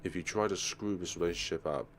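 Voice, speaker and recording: A man playing a menacing, obsessive male teacher in a visual novel, speaking in a hushed, coaxing and threatening way. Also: sits low, quick pace, slight background noise.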